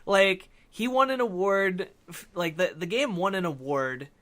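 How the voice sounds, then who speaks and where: Male podcast co-host, higher-than-average bright voice, conversational, hesitant phrasing. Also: big pitch swings, unhurried. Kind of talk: casual discussion of a film and games.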